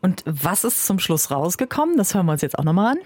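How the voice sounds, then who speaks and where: A radio host with a husky, fairly low voice keeps the interview moving with chatty questions and brief interjections. She talks close to a studio microphone in a dry, dead room. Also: very animated, quick pace, darkish tone, dry recording. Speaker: radio morning show host.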